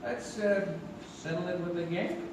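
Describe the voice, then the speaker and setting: Cocky young gambler in echoey hall. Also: male voice, high-pitched, unhurried, a crowd in the background. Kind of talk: live stage radio-play acting. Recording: echoey theater, distant mic, loud music.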